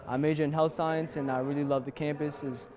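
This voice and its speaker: Fast-talking young man, flat intonation. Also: a little high, a crowd in the background. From New Jersey, USA. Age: young adult. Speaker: college basketball player. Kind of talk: self-introduction testimonial.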